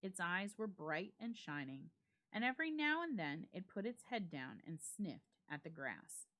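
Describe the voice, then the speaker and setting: A woman giving a dramatic, measured reading of a classic ghost story, with emphatic phrasing. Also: lowish voice, very animated, bright, forceful tone. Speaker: library staff reader.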